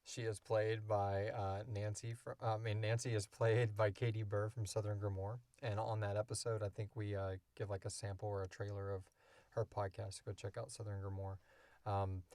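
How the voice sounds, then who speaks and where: A man's voice: fairly low pitch, level tone, normal speed, a little mellow, dry recording. A man hosting a podcast, answering questions in casual, unscripted talk from a small booth. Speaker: podcast host and creator. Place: small enclosed recording booth.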